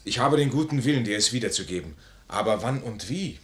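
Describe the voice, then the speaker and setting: An actor playing a proud, lovestruck army major in a classical radio play, impassioned and urgent. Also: male voice, medium pitch, lively intonation, a little fast, fairly bright tone, natural voice. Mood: urgent, brusque. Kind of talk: classical German stage-play radio acting.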